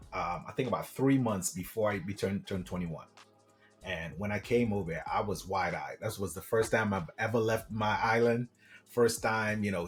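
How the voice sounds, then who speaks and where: A man from Trinidad and Tobago, a podcast guest telling personal stories in an enthusiastic, conversational way. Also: on the low side. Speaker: podcast guest, business coach. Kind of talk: casual personal storytelling.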